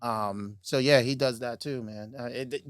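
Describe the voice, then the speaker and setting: A middle-aged man with a raspy voice, co-hosting a podcast in a casual, laid-back way, with lots of fillers and laughs. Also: mid-range voice, fairly even intonation, moderate pace, no room sound, clean studio sound. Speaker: podcast co-host. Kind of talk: casual chat.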